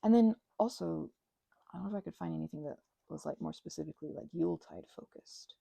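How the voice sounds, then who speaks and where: A woman rambling casually and unscripted while crafting, halting and wandering off on tangents, with excitable, gushing exclamations. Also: low-pitched, vocal fry, slightly rough, no echo. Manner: halting, digressive, with gasps and exclamations. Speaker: craft and ASMR channel creator.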